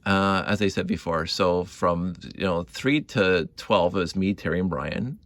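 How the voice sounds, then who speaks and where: A middle-aged man telling nostalgic stories casually, rambling and halting, repeating words and often given to chuckling. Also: sits low, fairly bright tone, dry recording. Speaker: hobby video creator. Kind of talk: casual rambling storytelling to camera.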